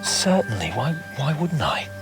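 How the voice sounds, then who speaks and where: A man's voice: medium pitch, big pitch swings, measured pace, music in the background. A man playing a boastful, pompous young nobleman in a medieval video game cutscene, mocking and full of theatrical exclamations.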